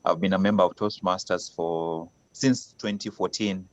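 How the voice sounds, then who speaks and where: A man's voice: low voice, fairly even intonation, moderate pace. A man from Kenya, a guest from another club, politely introducing himself with a light joke while driving. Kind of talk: brief self-introduction. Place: in a car, joining by call. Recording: dry, dull call audio.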